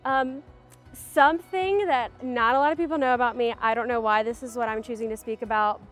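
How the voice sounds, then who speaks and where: A woman's voice: fairly high pitch, steady tone, a little fast, fairly bright tone, soft background music. A young woman graduate student in a casual interview in an outdoor garden, bubbly and self-mocking, on a lapel mic. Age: young adult. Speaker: homecoming court nominee.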